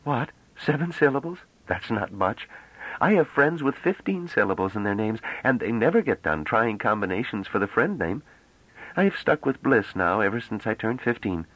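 A man's voice: on the low side, animated, normal speed, a bit bright, no room sound, pitched above the performer's own voice, rough recording. A man reading an audiobook, voicing a playful, confident young woman who talks in a teasing, slightly formal way. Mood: chatty.

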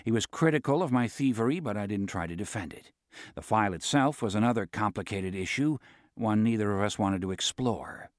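Male audiobook narrator, wry and measured, animated pitch, dry studio sound. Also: dry recording. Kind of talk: scripted first-person narration.

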